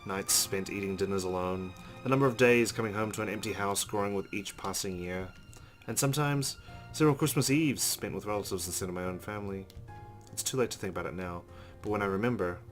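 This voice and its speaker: A man hosting a let's play, reading visual novel narration aloud. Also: low-pitched. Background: game music.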